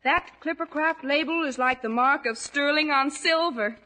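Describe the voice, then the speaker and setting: A woman's voice: high voice, moderate pace, sharp tone. An American woman delivering a scripted testimonial in a 1940s radio advertisement.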